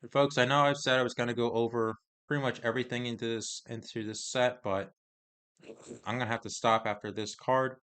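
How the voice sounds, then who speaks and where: A man casually reviewing trading cards, reading card text aloud in a halting, hesitant way, his voice getting hoarse. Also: mid-range voice, fairly even intonation, a bit bright. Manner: halting, hesitant, many pauses and restarts.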